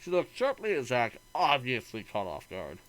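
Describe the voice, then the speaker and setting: A man's voice: medium pitch, huge pitch swings, moderate pace, a bit bright, low-quality recording. A man reading a visual novel aloud in a let's play, narrating the story and acting out the dialogue expressively.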